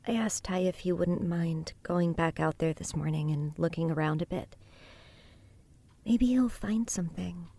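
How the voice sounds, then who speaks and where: A young adult woman playing a gentle, steady grown daughter in a horror audio drama. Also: on the low side, measured pace, vocal fry. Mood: subdued, casual.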